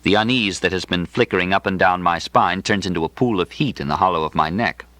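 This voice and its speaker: Guy reading a novel, low pitched but crisp and forward, super dry close sound, precise and wry. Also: fairly quick, fairly bright tone, dry recording. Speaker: audiobook narrator. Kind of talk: literary first-person novel narration.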